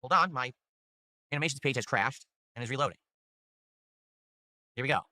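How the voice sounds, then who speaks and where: A man's voice: fairly quick, pushed and bright, dry recording. A man hosting his own stream, chatting casually and hyping up viewers for support. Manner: upbeat hype pitching for tips. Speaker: streamer host.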